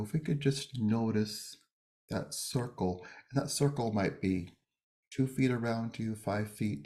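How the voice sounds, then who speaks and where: A man leading a guided meditation and prayer, speaking gently and unhurriedly in short phrases with pauses between them. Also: on the low side.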